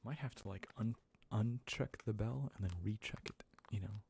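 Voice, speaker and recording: An American man hosting a live ASMR question-and-answer stream, talking softly and slipping into whispers, with frequent chuckles. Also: lowish voice, moderate pace, vocal fry, noisy background. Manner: soft-spoken, drifting into whispers, chuckling often.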